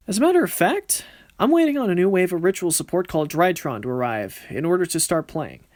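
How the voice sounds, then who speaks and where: A man's voice: high-pitched, sing-song, brisk, darkish tone, no echo, clean studio sound. A man voicing a confident, cocky but good-natured young card duelist in casual, swaggering banter for an amateur anime-style series. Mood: casual, friendly.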